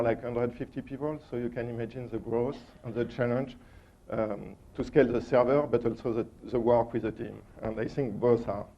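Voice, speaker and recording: A man with a heavy French accent walks through a technical talk at a conference, pausing often with ums and uhs. His voice has a gravelly, creaky edge. The recording sounds dull and lo-fi. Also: medium pitch. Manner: hesitant, many ums and uhs. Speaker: conference speaker.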